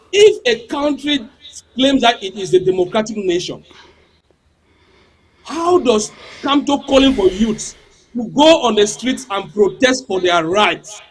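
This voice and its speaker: A man's voice: very high voice, a bit bright, poor audio. A man, not a native English speaker, arguing heatedly as a panel guest in a political debate, firing off rhetorical questions and repeating words.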